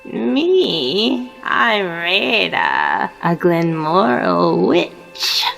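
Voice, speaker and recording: A woman voicing a sly, croaky witch in a fantasy game. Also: lowish voice, huge pitch swings, very slow, fairly bright tone, faint music behind, exaggerated voice. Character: sly creaky-voiced witch.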